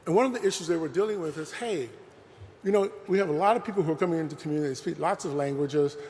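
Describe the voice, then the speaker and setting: A man's voice: on the high side, darkish tone. A man giving an earnest, conversational public talk, often saying "you know" as he speaks. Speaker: lecturer presenting a talk. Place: large museum hall. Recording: long hall reverb.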